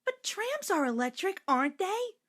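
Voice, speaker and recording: A woman voicing eager, excitable small children in a storybook, insistent and pleading. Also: extremely high pitch, moderate pace, voice pushed up, heavily acted. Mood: curious.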